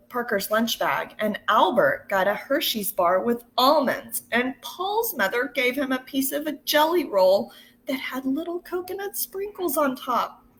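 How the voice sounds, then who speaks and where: Slow-paced woman reading a storybook aloud. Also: high-pitched. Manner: expressive, deliberate, pauses to ask listeners questions. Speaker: teacher reading a picture book to children.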